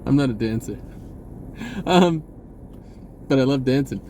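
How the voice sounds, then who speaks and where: A man's voice: mid-range voice, lively intonation, unhurried, dark tone, slight background noise. A man talking in a casual, rambling, informal monologue with folksy wording, often correcting himself as a spiritual vlogger.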